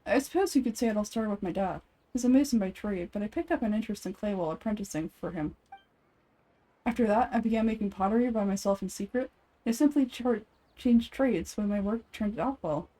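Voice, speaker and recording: A woman's voice: mid-range voice, expressive, brisk, dark tone, lightly acted, rough recording. A woman voicing a cheerful, earnest townsperson in fan-made fantasy game dialogue. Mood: friendly, chatty. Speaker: amateur voice actor.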